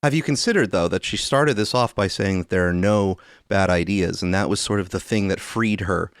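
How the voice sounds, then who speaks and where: A man's voice: sits low, moderate pace, clear, resonant tone, dry recording. A man hosting a podcast, giving casual political commentary in a dry, mock-earnest, pedantic way. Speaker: podcast host.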